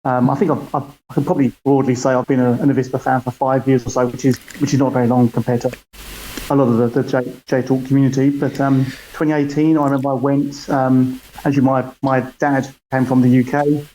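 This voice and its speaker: A man's voice: mid-range voice, flat delivery, quick pace, dark tone, slight background noise, low-quality recording. A man from the UK with a British accent, chatting as a podcast guest over a call, hesitant and full of fillers and restarts. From United Kingdom. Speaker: podcast guest, football fan. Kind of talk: casual chat over a call. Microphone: call audio.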